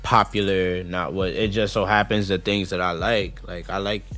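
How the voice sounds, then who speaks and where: A man's voice: low voice, fairly even intonation, normal speed, fairly bright tone, soft background music. A young man from New Jersey, a rapper guest on a podcast, talking in a laid-back way and often adding "you feel me". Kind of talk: casual podcast chat.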